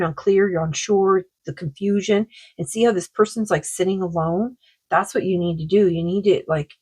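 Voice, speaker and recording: A woman with a sore throat giving a rambling, casual oracle card reading, full of fillers and slow read-aloud passages. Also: lowish voice, darkish tone. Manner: rambling, many fillers, reads card texts aloud slowly. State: sore throat, losing her voice.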